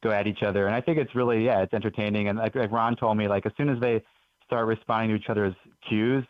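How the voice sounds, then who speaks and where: Fast-talking man with a low voice. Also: steady tone, no room sound. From Belgium. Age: 40s. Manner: earnest, enthusiastic storyteller. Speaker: guest author. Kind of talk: radio interview. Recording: dull lo-fi radio audio.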